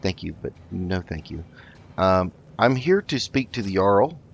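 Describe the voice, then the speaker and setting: A man improvising in character while playing a video game, speaking politely and haltingly. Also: sits low, very animated, creaky. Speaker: let's play host. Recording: dull lo-fi mic with noticeable noise.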